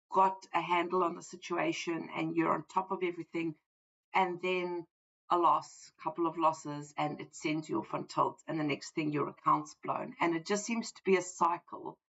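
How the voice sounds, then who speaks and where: Croaky woman chatting earnestly on a live stream, lowish gravelly voice, bit dull lo-fi sound. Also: a bit bright. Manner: chatty, earnest, thinks aloud with fillers. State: croaky from the flu. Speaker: trading mindset coach hosting a livestream. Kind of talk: casual livestream talk.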